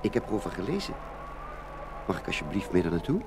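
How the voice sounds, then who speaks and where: A man's voice: sits low, sing-song, quick pace, noisy background. A man playing a polite, eager, wide-eyed young prince in a children's fairy-tale radio play. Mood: amazed, eager. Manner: earnest, courteous.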